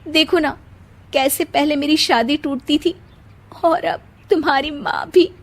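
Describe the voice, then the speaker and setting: A woman's voice: high voice, steady tone, unhurried, pitched above the performer's own voice. A woman voicing a sad, resigned young bride in a cartoon story, plaintive and self-blaming.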